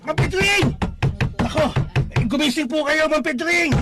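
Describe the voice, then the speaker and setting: An actor playing an excitable, pleading younger Filipino male neighbor with a husky voice in a religious radio drama. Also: very high-pitched, normal speed, loud music behind the voice. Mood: panicked.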